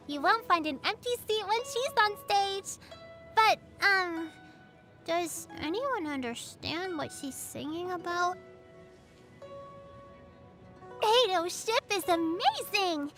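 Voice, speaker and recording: A woman's voice: extremely high pitch, expressive, unhurried, sharp tone, full character voice. A woman voicing a sweet, earnest little girl in an anime game, caring, innocent and chatty. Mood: admiring. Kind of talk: anime game character voicelines. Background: background music.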